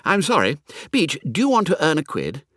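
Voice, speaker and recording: A man voicing a breezy, chatty young gentleman with an upper-class English accent and slangy manner in a comic audiobook. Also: fairly high pitch, wildly swooping pitch, normal speed, no echo, studio quality, pitched above the performer's own voice, heavily acted. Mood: eager. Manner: effusive, slangy, blithe. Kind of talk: comic audiobook character acting.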